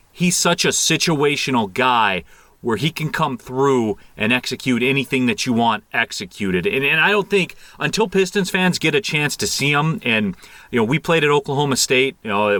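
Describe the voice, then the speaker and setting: A man giving animated, conversational sports analysis as a guest, repeating phrases for emphasis. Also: medium pitch, no room sound.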